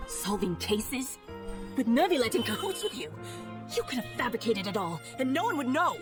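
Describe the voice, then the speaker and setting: A woman's voice: fairly high pitch, very animated, moderate pace, over music. A woman playing a suspicious, hostile townswoman in a video game, with a scornful, accusing manner.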